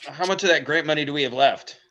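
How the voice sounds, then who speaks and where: An actor playing a hot-tempered older investigator, aggrieved and grumbling, in a screenplay table read. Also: male voice, fairly high pitch, fast talker, a bit bright, slight background noise, natural voice. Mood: incredulous.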